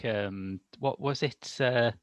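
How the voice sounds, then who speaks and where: A man's voice: mid-range voice, slow pace, dry recording. A man in his thirties hosting a film review podcast with a dry, wry, wordy delivery on a headset mic. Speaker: podcast host. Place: small domestic room, indoors.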